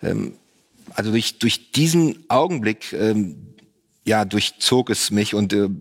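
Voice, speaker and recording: A man, a father, sharing faith reflections on a church panel, slow and searching with many pauses. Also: fairly low pitch, lively intonation.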